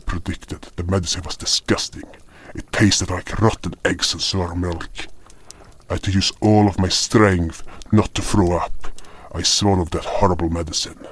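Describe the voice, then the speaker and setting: A man with a low, deep voice narrates a fantasy audio drama in the first person. His delivery is scripted storytelling. The recording carries some noise. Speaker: narrator and voice actor.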